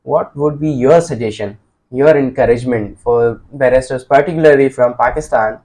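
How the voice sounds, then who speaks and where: A male interviewer asks long, earnest questions at a steady pace. His voice sits in an ordinary middle register. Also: mid-range voice, moderate pace, low-quality recording. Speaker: interview host.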